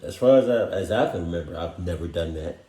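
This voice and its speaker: A man's voice: deep voice, animated, quick pace, warm tone. A man giving casual, slangy reaction commentary in a blunt, profane way. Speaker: reaction video host. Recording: roomy, echoey recording.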